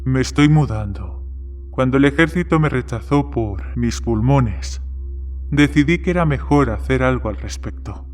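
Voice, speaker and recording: A man's voice: mid-range voice, close to an everyday voice. A man playing guarded male characters in the dialogue of an audio horror story. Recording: background music under the voice. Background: music.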